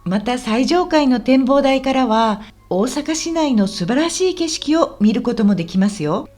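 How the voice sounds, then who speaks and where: Woman playing high-voiced, lively, helpful tour guide. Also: moderate pace, faint music behind. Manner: polite, explanatory, clearly enunciated. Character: friendly, helpful woman guiding a visitor around Osaka. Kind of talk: scripted language-lesson radio drama.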